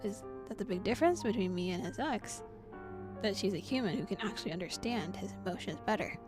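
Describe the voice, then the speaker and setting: A woman's voice: mid-range voice, animated, a little fast, over music, natural voice. Lonely young male demon, rueful, first-person inner voice, read by a woman. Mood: rueful, pleading.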